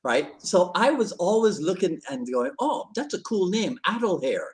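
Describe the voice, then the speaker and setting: A man's voice: high-pitched, big pitch swings, normal speed, heavy background noise. Chatty man hosting a show. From Barbados. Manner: chatty and jokey, laughs often, repeats words as he goes. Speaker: podcast host. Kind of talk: casual live-stream chat.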